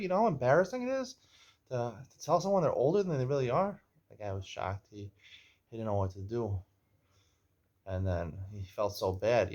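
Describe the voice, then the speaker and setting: A man in his forties hosting a casual show, chatty and teasing as he tells stories and jokes with a guest. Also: mid-range voice. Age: 40s. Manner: jokey, teasing, chatty storyteller. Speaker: host. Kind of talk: casual chat and storytelling. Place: Israel.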